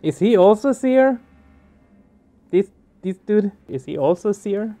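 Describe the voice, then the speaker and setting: A man's voice: high voice, expressive, takes it slowly, dark tone. A man doing casual let's play commentary, puzzling things out with repeated questions. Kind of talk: casual game commentary and reading aloud. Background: game music.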